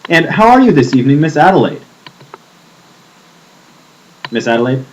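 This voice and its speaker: A teenage boy co-hosting a school project skit, lively with laughing asides. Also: fairly high pitch, normal speed, slight background noise, poor audio. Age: teens. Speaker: student co-host.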